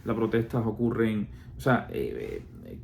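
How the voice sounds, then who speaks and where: A man's voice: fairly low pitch, wildly swooping pitch, unhurried, vocal fry, a little mellow, a little hiss or hum. A man from Cuba with a Cuban Spanish accent, explaining his views in casual talk, full of fillers, restarts and rhetorical questions.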